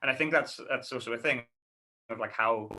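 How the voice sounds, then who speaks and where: A man's voice: medium pitch, a little fast, sharp tone. A man writer on a panel, musing in a casual tone with many restarts.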